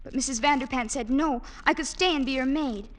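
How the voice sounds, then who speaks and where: An actress playing a frightened young woman held captive, speaking softly and pleadingly in an old-time radio drama. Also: high voice, fairly quick, close to an everyday voice. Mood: fearful. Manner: soft, fearful and pleading.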